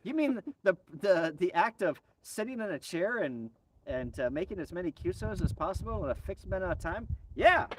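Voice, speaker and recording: A man hosts a casual livestream with a lively, slightly high voice. He banters playfully and often restarts with repeated words. He sounds a little away from the microphone. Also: moderate pace, slightly rough. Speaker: livestream host. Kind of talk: casual live chat. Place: outdoors in a quiet spot with birds. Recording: slightly distant from the mic.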